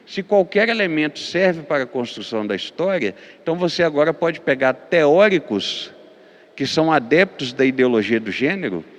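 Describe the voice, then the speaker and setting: A man's voice: fairly high pitch, big-room sound. A Brazilian man with a Minas Gerais accent, a pastor giving a lecture-style teaching, hesitating with fillers and repeated words, then driving his points home with emphatic lists. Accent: Brazilian Portuguese, Minas Gerais.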